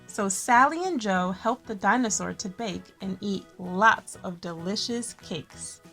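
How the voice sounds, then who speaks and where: Expressive woman reading a picture book. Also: medium pitch, faint music behind. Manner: expressive, slows and stresses key words. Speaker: children's story-time host and reader. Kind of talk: picture book read-aloud with friendly asides.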